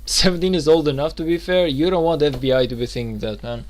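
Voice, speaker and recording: A man's voice: fairly high pitch, fast talker, soft background music. A man in his twenties chatting loosely while streaming a game, rambling with lots of fillers and restarts. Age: 20s. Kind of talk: casual live-stream chat.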